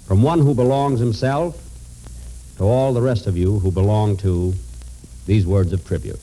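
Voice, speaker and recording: American male 1940s radio narrator, dark tone, oratorical scripted tribute, faint noise of an old recording. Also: lowish voice, normal speed, poor audio. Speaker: radio announcer.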